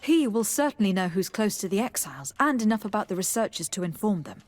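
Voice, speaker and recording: A woman voicing a polite, inquisitive young noblewoman diplomat in a video game, speaking in a measured, formal way. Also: medium pitch, lively intonation, lightly acted. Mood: measured, inquiring. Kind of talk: video game dialogue acting.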